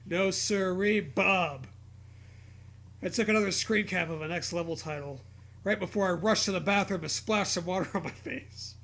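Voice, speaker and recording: A man's voice: high voice, normal speed, creaky. A man hosting a dramatic reading of a story, mixed with crude, joking commentary. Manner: profane, jokey, animated reactions.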